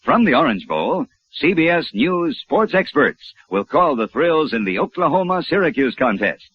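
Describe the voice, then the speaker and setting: A man announcing in formal, emphatic 1950s radio style, with a slightly husky voice. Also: a little high, sing-song, normal speed, no room sound. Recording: dull vintage radio recording.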